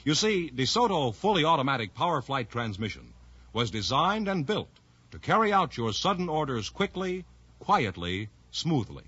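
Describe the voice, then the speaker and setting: Animated male vintage radio ad announcer. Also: medium pitch, normal speed, breathy edge, dry recording. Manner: polished salesman delivery. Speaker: commercial announcer. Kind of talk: scripted 1950s radio car advertisement. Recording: dull vintage radio recording.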